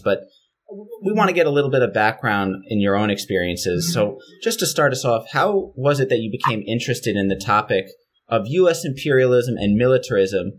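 A man hosting an interview podcast, introducing his guest in a measured, formal way. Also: fairly low pitch. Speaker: podcast host.

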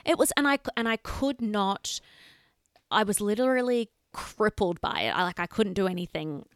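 Woman, 30s, podcast co-host, animated, swings up and down in pitch, dry close sound. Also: normal speed, no room sound. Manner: animated, swears casually. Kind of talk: casual chat.

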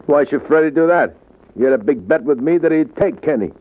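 An actor playing a wary, tough bookmaker with terse replies in a vintage radio crime drama. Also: male voice, on the high side, expressive, a little fast, lightly acted. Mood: weary. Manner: terse, guarded. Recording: tinny narrow-band old radio.

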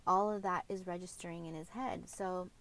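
Reflective woman speaking candidly, slightly low voice. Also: steady tone, moderate pace, fairly bright tone. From Mexican heritage, grew up speaking Spanish at home. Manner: reflective and open, with frequent fillers and self-corrections. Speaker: podcast host. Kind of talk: candid personal podcast monologue.

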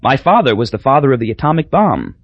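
A man voicing a small, calm young man who answers politely in few words. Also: mid-range voice, fast, no room sound, highs cut off. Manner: mild, terse and pleasant.

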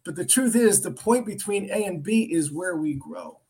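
A man's voice: high voice, lively intonation, moderate pace, darkish tone, breathy edge. A middle-aged man with an American accent, teaching the Bible informally in an earnest storytelling way, repeating phrases for emphasis. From Philadelphia area, United States. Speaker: preacher.

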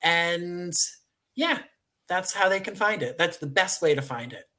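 An American man talks as a guest in a conversational interview. His voice sits fairly high for a man, and his phrasing is effusive, grateful and playful. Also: lively intonation, a little slow, sharp tone. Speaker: guest, audio drama writer and producer.

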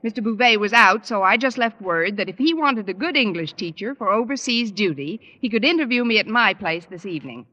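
Bright, wry American schoolteacher woman. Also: mid-range voice, lively intonation, a little fast, few pauses, muffled recording. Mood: dry. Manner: dry, sardonic delivery. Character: wry, quick-witted single schoolteacher woman, hopeful in love. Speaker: lead actress and narrator. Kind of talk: 1950s radio sitcom acting.

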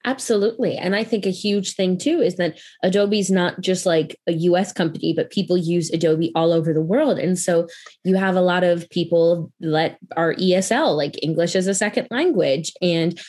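A young woman with a Midwestern American accent talks in an upbeat, conversational way. She gets animated when telling stories and uses casual fillers. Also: on the low side, normal speed.

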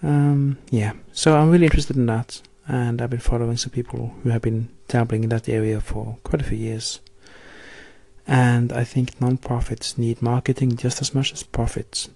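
A man's voice: medium pitch, gravelly, dark tone, dry recording. A man in his late thirties from Norway, leaving a friendly, rambling voice message for the host. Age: 30s. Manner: friendly, chatty, rambling questions. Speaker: caller. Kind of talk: recorded voice message.

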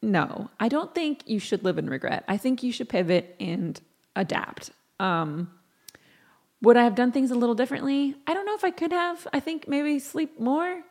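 Tired lady podcaster rambling solo, croaky vocal fry, slangy and exasperated, roasting herself. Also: fairly high pitch, animated, normal speed, darkish tone. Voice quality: scratchy, croaky. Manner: slangy, self-deprecating, exasperated. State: sleep-deprived, voice tired from talking. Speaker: podcast host.

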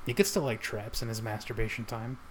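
A man's voice: mid-range voice, fairly even intonation, a little fast, a little hiss or hum. Joking man on a casual podcast. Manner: joking, laughs often. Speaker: podcast co-host. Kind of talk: casual chat.

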